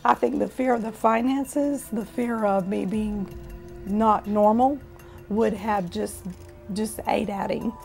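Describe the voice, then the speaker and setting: A woman in her fifties from Florida with a hoarse, raspy voice, telling her own life story in a plain, unhurried way. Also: mid-range voice, steady tone, darkish tone, faint music behind. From Florida, USA. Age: 50s. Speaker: testimony speaker.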